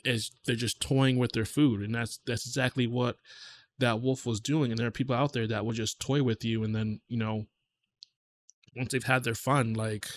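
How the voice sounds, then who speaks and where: A man in his thirties giving casual reaction commentary, conversational and reflective, speaking haltingly into a close desk microphone in a small home studio. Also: medium pitch, slight background noise. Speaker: music reaction host.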